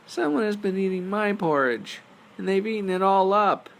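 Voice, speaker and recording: A man voicing a tiny, upset baby bear with a squeaky, loud complaining voice in a bedtime storybook. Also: normal speed, gravelly, low-quality recording. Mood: upset, crying out.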